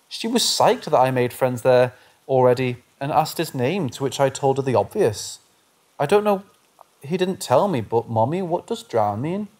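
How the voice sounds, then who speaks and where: A man narrating reader-submitted paranormal stories in a steady, measured storytelling delivery. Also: mid-range voice. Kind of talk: scripted narration of scary stories.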